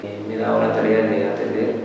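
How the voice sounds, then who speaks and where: A young man speaks in an earnest testimony with a gravelly texture to his mid-pitched voice. He often pauses to find his words. The recording is distant and echoey, like a large reverberant hall with steady background noise. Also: dull audio. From Guatemala. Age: 20s. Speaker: migrant rights defender at a shelter. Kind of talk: personal testimony interview.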